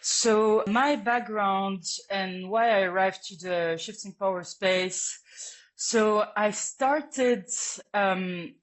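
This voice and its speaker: A woman who grew up between Lebanon and France speaks as a guest on an interview podcast. She thinks aloud, pausing on fillers and restarting her sentences as she goes. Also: mid-range voice. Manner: thoughtful, hesitant, many fillers and restarts. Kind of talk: conversational interview.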